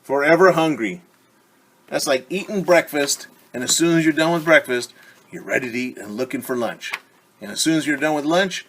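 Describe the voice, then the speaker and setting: A male teacher reads a picture book to little kids in a lively, animated way. His voice sits in a middle pitch. He keeps pausing to explain and to ask the children questions. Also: slight background noise. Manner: playful sound effects. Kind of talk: children's story time read-aloud.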